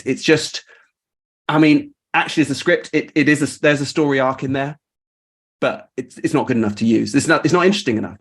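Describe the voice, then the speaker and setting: Casual male podcast host thinking aloud. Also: medium pitch. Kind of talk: casual group discussion.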